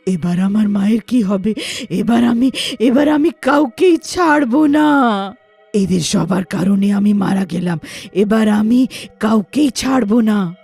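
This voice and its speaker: A woman voicing a vengeful young witch ghost with loud, dramatic threats and wails for a moral horror story. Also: medium pitch, expressive, unhurried, gravelly, husky, faint music behind, exaggerated voice. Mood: anguished, vengeful.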